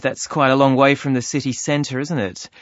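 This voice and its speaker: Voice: medium pitch, steady tone, moderate pace, no echo, natural voice. A chatty, friendly club clerk, played by an actor for an exam listening dialogue. The recording sounds dry and a little dull, with no room around the voice. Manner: friendly, chatty, with ums and small laughs. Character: friendly office clerk taking a new member's details. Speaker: club receptionist. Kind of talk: scripted listening-test dialogue.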